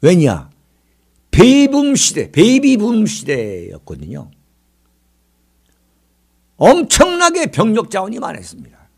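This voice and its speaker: Animated, high-pitched man in his sixties. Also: slow, creaky, pauses often, husky. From South Korea. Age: 60s. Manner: oratorical, dramatic emphasis with rhetorical questions. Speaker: political commentary show host. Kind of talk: political commentary monologue.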